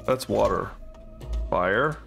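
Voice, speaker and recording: A man's voice: lowish voice, expressive, takes it slowly, gravelly, a bit bright, soft background music. A man casually commenting on a co-op game as he plays, thinking aloud and unsure as he works through a puzzle. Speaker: co-op game let's player.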